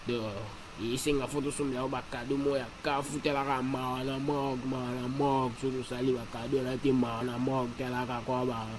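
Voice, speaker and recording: A man's voice: medium pitch, level tone, fast, hardly any pauses, crowd noise, close to an everyday voice. A man with a Congolese accent playing a rambling cartoon character who repeats slangy interjections. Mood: protesting. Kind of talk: animated cartoon dialogue in Lingala and French.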